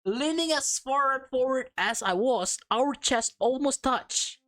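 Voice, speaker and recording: A woman's voice: sits high, animated, slow pace, fairly bright tone, dry recording. A woman reading a visual novel aloud as a let's player, stumbling over and repeating words, with slangy, casual reactions.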